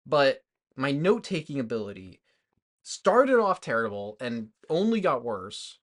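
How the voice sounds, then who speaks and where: A man's voice: medium pitch, sing-song, measured pace. A tipsy man co-hosting a podcast, chatting casually about movies in a rambling, hesitant way. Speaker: podcast co-host.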